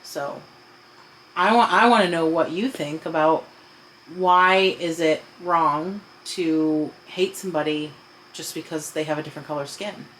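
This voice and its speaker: A woman's voice: low-pitched, unhurried, a bit bright, a little hiss or hum, rough recording. An adult woman talking casually with a child, patiently explaining and asking questions. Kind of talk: casual conversational discussion with a child.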